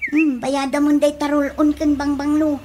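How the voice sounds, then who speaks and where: A woman's voice: high voice, flat delivery, moderate pace, background noise, close to an everyday voice. A woman playing a pushy, blunt peddler in a comedy radio drama, speaking with an Ilocano accent in a coaxing, teasing way. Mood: insistent. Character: pushy, blunt female peddler of perfume and lotion.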